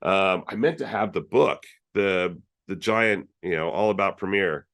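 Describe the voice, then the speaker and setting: A man's voice: low-pitched, unhurried, fairly bright tone. A man hosting an online user group meeting, chatting casually through announcements with many fillers and restarts over a video call.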